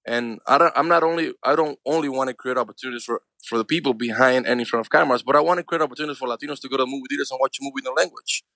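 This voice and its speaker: A quick-talking man around forty with a Cuban accent, his first language Spanish. He talks with earnest conviction, dropping "man" and "you know" constantly, and the sound is close and dry with no room around it. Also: mid-range voice, sharp tone, no echo. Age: 40s. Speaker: actor and filmmaker guest. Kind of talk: casual interview talk.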